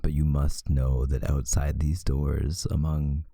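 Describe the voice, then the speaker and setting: A man voicing a possessive yet tender male vampire lover in an intimate, hushed ASMR roleplay, often slipping into a whisper. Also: flat delivery, dry recording, studio quality, heavily acted. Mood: possessive, proud. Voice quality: very deep, breathy, gravelly, often whispering. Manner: slow, hushed, soothing, seductive.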